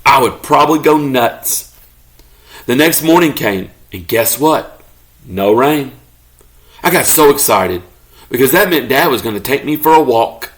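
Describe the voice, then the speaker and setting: A man voicing a good-natured, grumbling pet beagle telling his own storybook tale in an expressive, mock-indignant way. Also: medium pitch, measured pace, pauses often. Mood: wistful, eager.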